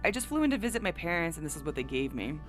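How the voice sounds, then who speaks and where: A woman's voice: lowish voice, sing-song, rapid-fire, faint music behind. A woman playing an intelligent, curious, coy engineer in an audio drama, articulate and well-spoken. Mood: casual.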